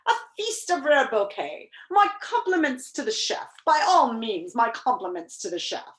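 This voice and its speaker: A woman's voice: a little high, big pitch swings, moderate pace, a bit bright. A woman voicing a pompous, fickle male bug from a storybook, wordy, blustery and given to emphatic repetition. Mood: grandly gushing. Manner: wordy, repetitive, emphatic, echoing what others say.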